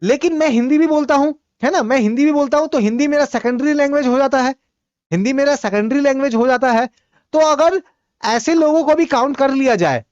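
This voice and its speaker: A man whose first language is Bhojpuri, teaching exam-prep geography quiz questions in Hindi. He reads each question out slowly, then explains the answer with quick, repetitive asides. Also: very high voice, darkish tone, no room sound, lo-fi sound.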